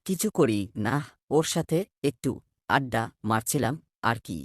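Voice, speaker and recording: A man's voice: mid-range voice, measured pace, no room sound, clean studio sound, natural voice. A man voicing a boastful young boy who bluffs to his friends in casual cartoon dialogue. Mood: casual, evasive.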